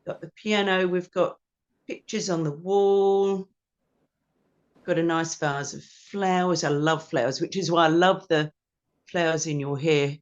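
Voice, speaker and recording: A woman's voice: on the low side, dull audio. Chatty woman. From New Zealand. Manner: chatty, friendly, loose with many ums. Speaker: ukulele teacher and performer, guest. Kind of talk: casual interview chat. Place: her home music studio. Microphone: video call.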